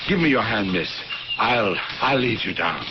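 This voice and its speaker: A man's voice: lowish voice, animated, moderate pace, loud music behind the voice, lightly acted. An actor playing a friendly, helpful building foreman at an ancient temple in a radio drama. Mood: kindly, cautious. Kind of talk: theatrical radio drama acting. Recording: noisy, muffled old radio broadcast.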